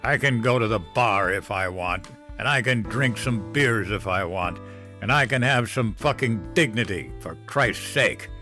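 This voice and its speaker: A man's voice: mid-range voice, animated, a little slow, fairly bright tone, soft background music. A male narrator voicing a drunk, bitter, out-of-work father who slurs and growls. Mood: growling. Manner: slurring, growling, sneering. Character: drunk bitter unemployed father, middle-aged man.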